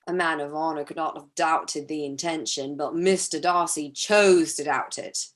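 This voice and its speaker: A woman playing a charming, aggrieved young gentleman in a staged period drama reading, confiding and theatrical. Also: sits low, steady tone, normal speed, close to an everyday voice. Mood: aggrieved, wounded. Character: Mister Wickham, charming aggrieved young gentleman, smoothly self-pitying.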